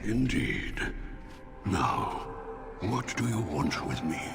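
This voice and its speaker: An actor playing a lazy, weary beast spirit in a video game, with a languid, condescending drawl. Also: male voice, sits low, big pitch swings, slow pace, vocal fry, noisy background, full character voice. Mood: sardonic, bored. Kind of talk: video game character acting. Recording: long reverb, music behind.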